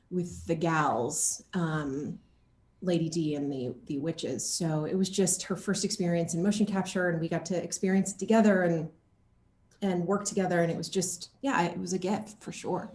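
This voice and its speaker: A woman's voice: fairly low pitch, moderate pace, slight background noise. A woman chatting casually as an interviewed actor, telling stories expressively and acting out quotes as she goes. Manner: animated, expressive storytelling, quoting others as she goes. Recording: echoey room.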